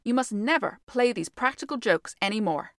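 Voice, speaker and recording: A woman voicing a stern, anxious father in a storybook, scolding forcefully. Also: a little high, animated, normal speed, no echo, studio quality, natural voice. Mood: angry relief. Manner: forceful, exclaiming.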